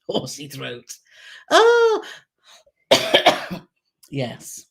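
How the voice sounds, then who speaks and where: A woman with a hoarse, croaky throat co-hosting a casual live Q&A, chatty and giggly as she reads out viewer comments. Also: low voice, wildly swooping pitch, drawn-out words. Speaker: co-host of a travel channel live stream.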